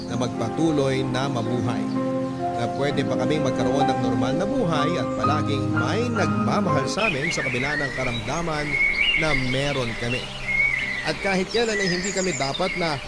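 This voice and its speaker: A man with a medium-pitched voice narrates as a radio host reading listeners' letters. He sounds earnest and consoling, and loud music plays under his dramatic, scripted delivery.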